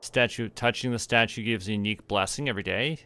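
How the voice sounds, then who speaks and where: A man's voice: fairly low pitch, fast, sharp tone, clear, resonant tone, dry recording. A man, playfully thinking aloud and narrating what he does as he streams a game. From Canada. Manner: playful, narrates own actions and reads game text aloud.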